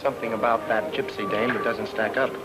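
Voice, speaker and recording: An actor playing an excitable, wisecracking newlywed private detective in 1940s radio noir style. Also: male voice, fairly low pitch, a little fast, natural voice, poor audio. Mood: brisk, wry. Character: fast-talking newlywed private detective, flustered yet wisecracking. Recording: old radio drama, music underneath.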